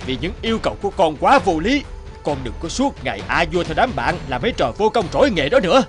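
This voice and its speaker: A stern middle-aged father from a cartoon scolds with firm exasperation. His intonation moves around a lot, over clear background music. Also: on the high side, big pitch swings, fast talker, long reverb tail. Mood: angry, scolding.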